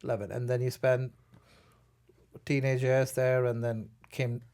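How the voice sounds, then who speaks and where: A man hosting a conversational interview show, haltingly restarting his questions as he feels his way toward them. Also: mid-range voice, little pitch movement, a little mellow, clean tone. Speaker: talk show host.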